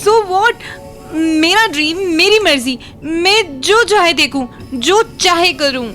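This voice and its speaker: A woman playing a fragile, feisty young college student in an emotional audio drama. Her voice is extremely high and bright, with slow, halting delivery. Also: expressive, exaggerated voice. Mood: dreamy, defiant. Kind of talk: emotional Hindi-English audio drama acting. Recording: music under the voice.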